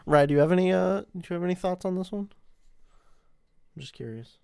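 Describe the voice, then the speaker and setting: Podcast co-host, casual chat, slangy and informal, mid-pitched voice, dry sound. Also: brisk, creaky, darkish tone, clean tone, no echo.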